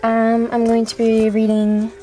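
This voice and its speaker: A woman's voice: on the high side, monotone, unhurried, dark tone, soft background music. A woman chatting casually and apologetically to online viewers as an amateur voice auditioner. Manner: self-deprecating, apologetic.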